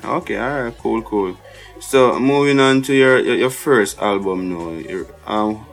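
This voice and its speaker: A man's voice: on the low side, measured pace, vocal fry, soft background music. A man, a radio DJ, asking interview questions in a casual, repetitive way. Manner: casual, repeats words, "cool, cool".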